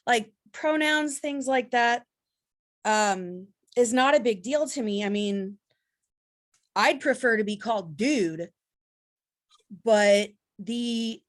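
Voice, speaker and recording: A woman taking part in a workshop video call, speaking hesitantly and searching for her words. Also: a little high. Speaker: workshop participant. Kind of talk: casual sharing on a video call.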